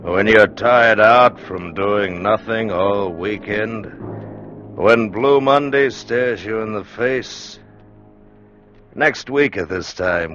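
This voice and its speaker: A man's voice: low-pitched, fairly bright tone. A man announcing in a dramatic, scripted old-time radio promotional style. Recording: dull, noisy vintage radio recording.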